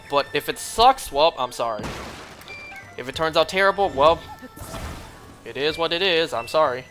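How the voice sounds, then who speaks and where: A young man streaming a game, chatting casually and rambling, clearing his throat often as he is losing his voice. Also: on the high side, fairly bright tone.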